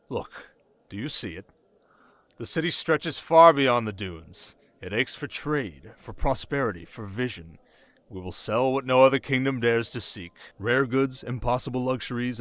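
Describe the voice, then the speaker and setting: A man playing a young, sharp, commanding desert king in an ASMR roleplay, speaking in a measured, teasing, possessive way with dramatic pauses. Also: on the low side. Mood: visionary, intense. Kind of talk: scripted ASMR roleplay addressed to the listener.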